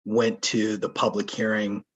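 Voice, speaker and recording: A man with a hoarse, husky voice raises careful, probing questions at a public hearing. He talks over a remote video call, and the sound is dull and lo-fi. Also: on the low side, completely flat delivery. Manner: deliberate, probing questions with restarts. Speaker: resident commenting at public hearing. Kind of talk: remote public meeting comment.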